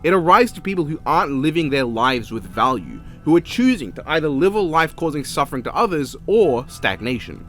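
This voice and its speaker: An Australian man delivers casual, opinionated review commentary with plenty of swearing. His pitch sits a little high and swings around animatedly. Soft music plays underneath the narration. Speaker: video reviewer.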